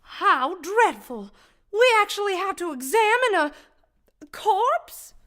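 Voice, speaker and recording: A ditzy damsel from a comic radio mystery played by a woman, with an extremely high, bright voice. She is gushing and melodramatic, in an old-time heroine style. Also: sing-song, slow pace, full character voice. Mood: frightened. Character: dramatic, ditzy, always-hungry 1940s-style mystery heroine.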